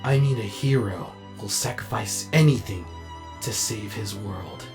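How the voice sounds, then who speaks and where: A man playing a vain, melodramatic robot actor and director in a comedic animated comic dub, with an excitable, grandiose delivery. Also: lowish voice, measured pace, over loud music, close to an everyday voice. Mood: solemn, hammy.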